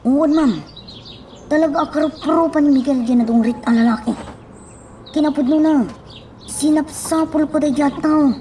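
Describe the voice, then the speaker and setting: A woman's voice: sits high, moderate pace, long pauses, mellow, far from the mic, slight background noise, close to an everyday voice. A woman with an Ilocano accent acting a deferential, concerned helper in a radio drama.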